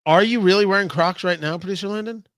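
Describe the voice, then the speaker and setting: A man's voice: sits high, fast talker, fairly bright tone, no echo, clean studio sound. A man hosting a live talk show, chatting casually with viewers in a playful, rambling way full of asides and mock indignation.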